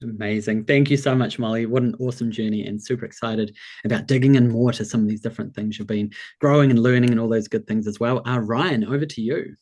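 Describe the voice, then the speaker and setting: A man with a New Zealand accent hosts a live online workshop, his voice sitting at an ordinary middle pitch. He sounds enthusiastic and encouraging, warmly greeting people and reading their messages aloud over a video call. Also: steady tone, fast talker. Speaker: workshop host and business coach. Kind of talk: upbeat webinar hosting.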